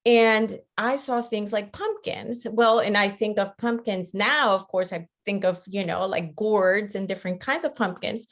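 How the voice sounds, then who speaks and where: A woman's voice: mid-range voice, a little slow, hardly any pauses. Woman presenting a webinar. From Costa Rica. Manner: conversational, checks in often with "right?". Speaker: webinar presenter, teacher educator. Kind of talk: interactive online lecture.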